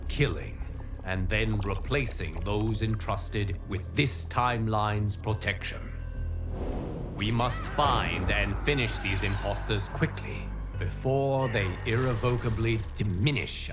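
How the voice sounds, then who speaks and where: An actor playing a solemn, stern guardian of timelines, narrating a fighting video game's story in a formal, measured way. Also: male voice, mid-range voice, close to an everyday voice. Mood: ominous, urgent. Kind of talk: scripted dramatic narration. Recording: clear music under the voice. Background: background music.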